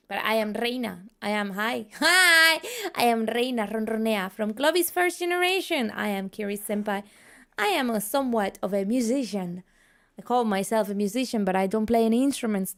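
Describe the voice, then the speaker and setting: Brief: Spanish-accented woman streamer, casual and jokey, slightly high voice. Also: fairly bright tone. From Spain. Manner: playful and chatty, laughs often. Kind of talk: casual gaming stream chat. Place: her room at home.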